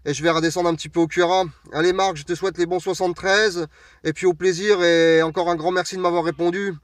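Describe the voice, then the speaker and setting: A man's voice: high voice, level tone, quick pace, dry recording, studio quality. A man from France chatting casually and a little hesitantly as an amateur radio operator, speaking from a parked vehicle. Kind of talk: casual radio contact chat. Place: parked vehicle outdoors on an open plateau. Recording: distant mic, recorded away from the speaker.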